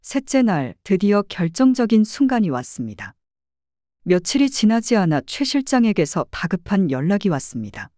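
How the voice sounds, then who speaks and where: Expressive woman storyteller, mellow voice. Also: medium pitch, moderate pace, no echo, studio quality. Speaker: narrator playing a private detective. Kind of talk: audio drama story narration.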